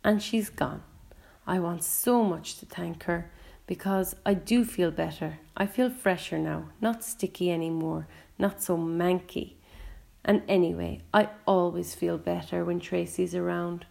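Woman reading as a frank, chatty young boy, creaky voice. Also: lowish voice, measured pace, a little mellow. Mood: chatty, fond. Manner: chatty, colloquial inner monologue. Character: bedridden young boy, sardonic, frank and quick to anger. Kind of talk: first-person audiobook narration.

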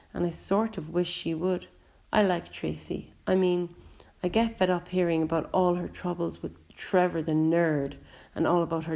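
A woman's voice: fairly low pitch, gravelly, warm tone. A woman voicing a frank, sardonic young boy who tells his own story in a chatty, colloquial audiobook narration. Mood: frank, wistful. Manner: chatty, colloquial inner monologue. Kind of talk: first-person audiobook narration.

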